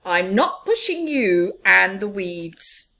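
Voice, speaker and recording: A woman voicing a grumpy, overworked male mouse gardener in a children's storybook, loud, exasperated and pleading. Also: mid-range voice, big pitch swings, slow, exaggerated voice. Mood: indignant, weary.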